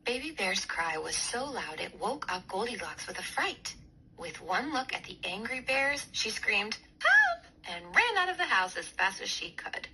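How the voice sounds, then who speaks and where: A woman storyteller reading a children's bedtime story aloud with expressive, clear phrasing. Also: mid-range voice, normal speed. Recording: clear background noise.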